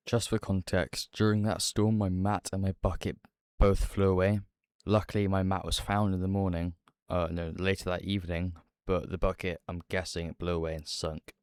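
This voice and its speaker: A man's voice: deep voice, level tone, moderate pace, few pauses, darkish tone, smooth, no echo, studio quality. A man with a British accent talking casually as an angler making a fishing vlog. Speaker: angler and vlogger.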